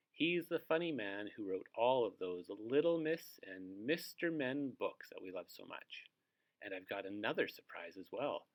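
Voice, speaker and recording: Guy reading a picture book to little kids, super expressive swoopy pitch, slow pace. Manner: theatrical, addresses young listeners with questions. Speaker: read-aloud host for young children. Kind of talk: storybook narration for young children.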